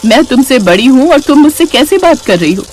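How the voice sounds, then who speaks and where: Woman acting a gentle, dutiful daughter-in-law. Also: high-pitched, sing-song, a little fast, a little hiss or hum, pitched above the performer's own voice. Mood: reproachful. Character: gentle, dutiful young daughter-in-law, patient but self-respecting.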